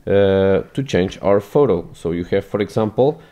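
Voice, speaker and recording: A man whose first language is Portuguese walks through a photo edit in a screen-recorded tutorial, halting and restarting his phrases as he goes. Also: sits low, lively intonation, mellow. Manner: hesitant, many restarts, non-native phrasing.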